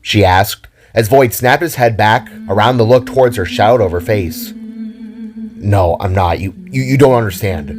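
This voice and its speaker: Low-voiced man narrating a story. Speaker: narrator and performer of an audio drama. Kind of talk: scripted dramatic story narration.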